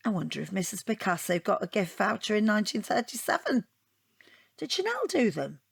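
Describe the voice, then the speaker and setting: Wry, rambling wounded wife. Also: female voice, mid-range voice, brisk, gravelly, slightly rough, heavily acted. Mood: bitter, wondering. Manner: wry, digressive, deadpan. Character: wounded, rambling wife dissecting her unwanted portrait gift. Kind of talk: audio drama monologue and dialogue.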